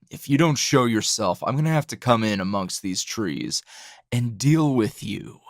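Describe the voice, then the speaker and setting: A man playing a tired, gruff, dryly teasing hero schoolteacher in an ASMR roleplay, speaking low and gravelly in a halting, understated way. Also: big pitch swings, no room sound, studio quality, full character voice. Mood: irritated, weary. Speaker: voice actor.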